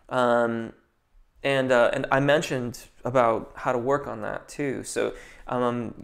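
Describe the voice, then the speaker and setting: Guy vocal coach doing a live q&a, kinda gravelly, chill and rambly, lots of ums. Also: medium pitch. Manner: relaxed, chatty, many ums and restarts. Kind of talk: live Q&A answering chat questions.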